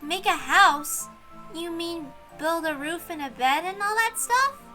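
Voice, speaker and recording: A woman's voice: very high voice, expressive, a little slow, sharp tone, faint music behind, heavily acted. A woman voicing an earnest, naive young servant girl with an eager, wondering manner, in a visual novel read-aloud.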